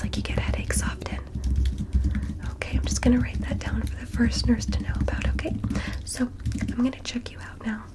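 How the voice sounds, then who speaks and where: A woman in a soft, high, airy whisper, playing a caring nurse in an ASMR exam. She keeps her voice hushed and asks gently before each step, calling the listener darling. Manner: gentle, reassuring, asks permission often, calls listener darling. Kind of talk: whispered ASMR medical roleplay.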